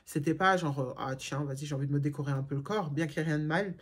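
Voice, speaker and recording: A woman's voice: low voice, quick pace, slightly rough. A middle-aged woman from Germany chatting casually and frankly as a vlogger answering viewer questions, with many fillers and restarts. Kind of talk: casual chat.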